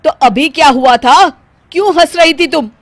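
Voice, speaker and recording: A woman's voice: on the low side, animated, moderate pace, a little mellow, no room sound, lightly acted. A woman voicing an exasperated young husband who gets angry at his wife.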